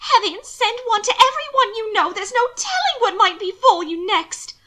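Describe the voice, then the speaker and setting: A woman voicing a frightened, suspicious sister in an audiobook, breathy and thick with tears, speaking insistently. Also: high voice, lively intonation, normal speed, sharp tone, pitched above the performer's own voice. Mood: aghast, insistent. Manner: agitated, insistent, emphatic. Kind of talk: audiobook character dialogue.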